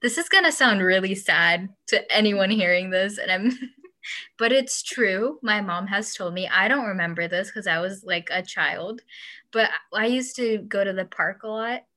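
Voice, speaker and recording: A bright-toned young woman, her first language Urdu, talking in a loose, chatty way. She laughs often and slips into other people's voices when retelling stories. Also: mid-range voice, lively intonation, measured pace. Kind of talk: casual chat.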